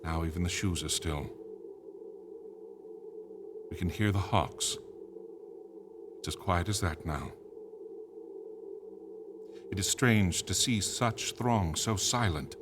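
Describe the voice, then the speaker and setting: An actor playing a tense, solemn radio announcer, giving measured, vivid live commentary on a crowded plaza in a verse drama. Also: male voice, low voice, noisy background. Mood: hushed suspense. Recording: clear outdoor crowd and street ambience behind.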